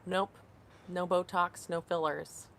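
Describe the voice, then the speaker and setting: Woman, late thirties, lower pitch, live-stream host reading comments, casual and scattered. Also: level tone, slow pace, vocal fry, fairly bright tone, a little hiss or hum. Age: 30s. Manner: rambling, reads comments aloud and loses her train of thought. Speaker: skincare vlogger hosting a live stream.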